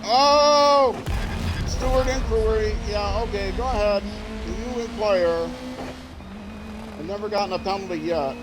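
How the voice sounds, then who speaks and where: A man streaming a racing game, thinking aloud in rambling, self-restarting commentary with sudden excited outbursts. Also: sits high, unhurried, long pauses, noisy background. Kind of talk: casual gameplay commentary. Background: loud game music and car engine sounds.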